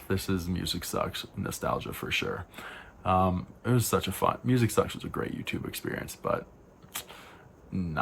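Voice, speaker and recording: A young man with a very low, deep voice runs through album after album in a loose, talky way, frank and a little rambling, often stopping to correct himself. His tone has a gravelly, fry-heavy edge, and faint background noise sits under the recording. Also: fairly bright tone. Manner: rambling, frank, self-correcting with sighs and asides. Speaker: album reviewer. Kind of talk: casual spoken album rundown.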